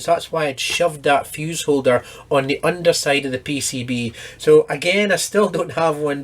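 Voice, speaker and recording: A man hosting a casual hands-on repair walkthrough, explaining each step in a matter-of-fact way. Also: on the high side. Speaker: host of an electronics repair channel.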